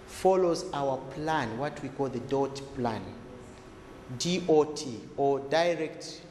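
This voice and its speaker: A man speaking as a health expert, explaining in a deliberate, emphatic way that repeats key words. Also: mid-range voice, mellow. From Zambia. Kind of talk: explanatory interview talk. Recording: echoey, reverberant room with background noise.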